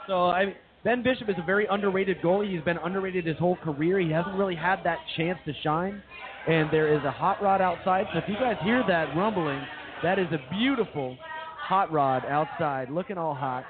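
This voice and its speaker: A man's voice: high-pitched, a little fast. A man co-hosting a live radio show, chatting casually about sports. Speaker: radio co-host. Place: brewery venue, live broadcast. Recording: thin phone-like sound with crowd chatter.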